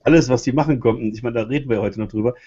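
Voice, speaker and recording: Man, co-host, casual commentary, husky voice, dull lo-fi sound. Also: medium pitch, racing, dark tone. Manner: loose, chatty, with fillers and restarts.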